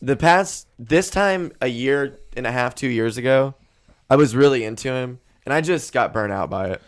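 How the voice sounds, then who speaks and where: A man hosting a casual podcast, talking bluntly and swearing freely, with his voice getting hoarse. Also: mid-range voice, animated, moderate pace. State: losing his voice. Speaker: podcast host. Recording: music bed behind the voice.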